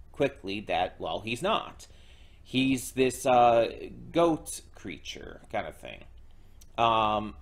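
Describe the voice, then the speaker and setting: A young man casually recapping a show episode as a reaction host, halting with many fillers and restarts. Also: lowish voice.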